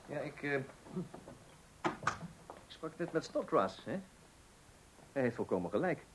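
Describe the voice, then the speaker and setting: A man's voice: a little high, very animated, unhurried, heavy background noise. An actor playing a hesitant, gullible suburban husband and father who stammers and repeats himself in a radio comedy play. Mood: flustered, insistent.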